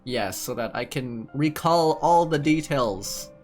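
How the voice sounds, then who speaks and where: A man's voice: mid-range voice, lively intonation, slow, a little mellow, faint music behind. A man giving casual playthrough commentary as a gaming streamer, reacting aloud and musing in questions.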